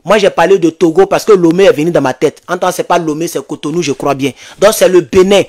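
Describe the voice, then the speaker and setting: A man's voice: fairly high pitch, quick pace. An Ivorian man in his thirties speaking as an activist host, giving impassioned, urgent commentary to his online followers and repeating phrases for emphasis. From Ivory Coast. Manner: emphatic, repetitive, urgent, animated. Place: small indoor home studio. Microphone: desk microphone close in front.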